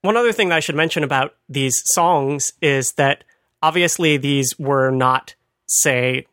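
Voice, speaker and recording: A man's voice: medium pitch, a little slow, a bit bright, clean tone, no room sound. A man co-hosting a conversational talk, explaining things with dry amusement. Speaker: podcast host. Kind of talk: conversational retro computing commentary.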